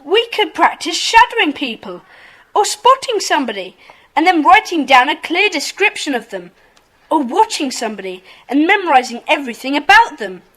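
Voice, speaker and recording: A woman playing an earnest, bossy schoolboy club leader in a children's audio drama, brisk and insistent. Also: high-pitched, very animated, a bit bright, poor audio.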